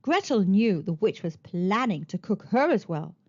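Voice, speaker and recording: A woman narrating a children's storybook aloud in an expressive storytelling style, on a slightly muffled recording. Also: medium pitch, moderate pace.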